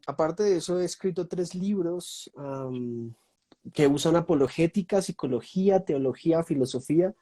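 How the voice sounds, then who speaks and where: A man's voice: a little high, a little mellow. A young Colombian man with a Colombian accent, a guest in a casual video-call chat, speaking thoughtfully and listing topics with many fillers. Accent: Colombian Spanish. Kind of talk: casual online conversation.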